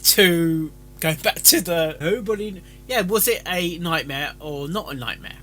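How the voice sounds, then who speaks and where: A man giving casual, rambling commentary, haltingly repeating and restarting his phrases. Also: a little high, a bit bright, slight background noise. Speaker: reaction video host reviewing fan fiction.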